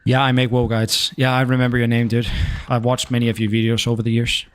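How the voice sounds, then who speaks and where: Young Danish-accented male streamer, mid pitch, relaxed and a bit profane. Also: fast. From Denmark. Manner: laid-back, swears casually, chats to viewers. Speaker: game streamer. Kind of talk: casual gaming stream chat.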